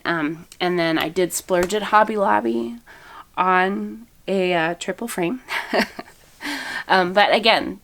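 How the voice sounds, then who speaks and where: A woman's voice: lowish voice, vocal fry, fairly bright tone. A woman in her thirties, a mother of eight with a slight cold that makes her a little froggy, chatting casually through gift ideas with many ums. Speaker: family vlogger, mother of eight. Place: home, indoors.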